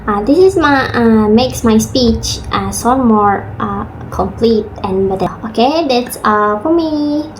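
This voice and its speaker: Slow, hesitant woman. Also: fairly high pitch, lively intonation, slight background noise, low-quality recording. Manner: slow, halting, with many uh fillers. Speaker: student. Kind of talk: class presentation on pronunciation.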